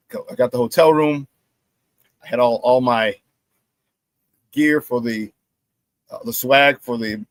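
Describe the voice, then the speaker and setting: A hoarse middle-aged man hosting a sports talk show, telling animated stories, repeating his phrases and laughing at his own stories. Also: medium pitch, no echo. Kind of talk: casual sports talk monologue.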